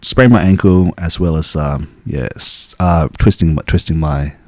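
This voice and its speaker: Young aussie guy, really deep voice, chatty and easygoing, dry close sound. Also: moderate pace, no echo. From Taiwan. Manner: relaxed storytelling, frequent restarts and laughs. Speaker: acupuncturist guest. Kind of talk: casual interview.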